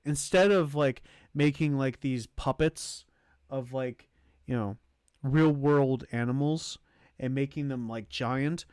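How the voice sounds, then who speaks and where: A man giving casual livestream commentary as host, thinking aloud in a halting way as he ranks things. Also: medium pitch, a little mellow, clean tone, dry recording, clean studio sound. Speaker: streamer hosting a movie tier list.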